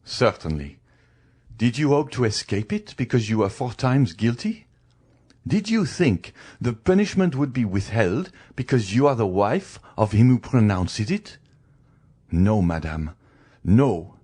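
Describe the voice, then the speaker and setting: Storybook villain-judge voiced by a man: stern husband passing sentence, deliberate, emphatic, widely varied pitch. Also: big pitch swings, warm tone, slightly rough. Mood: stern, accusing. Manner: cold, commanding, emphatic oratory. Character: stern, merciless male magistrate condemning his wife.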